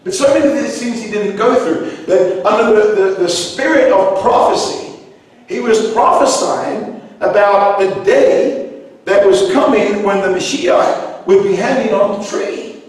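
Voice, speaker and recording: A middle-aged man from New Zealand who grew up in Iceland, preaching a sermon with passion, at times rising to shouts. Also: high voice, measured pace. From New Zealand, raised in Iceland. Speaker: pastor. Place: large church hall with high ceiling. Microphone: handheld mic, heard from a distance in the room. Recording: echoey hall from far off.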